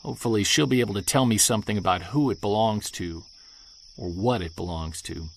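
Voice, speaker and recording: An actor playing an earnest, excitable Bigfoot researcher, musing to himself as he records a field log in an audio drama. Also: on the low side, a little fast, creaky, a little hiss or hum. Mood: focused, cautiously hopeful. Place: outdoors in wilderness sound setting, handheld recorder.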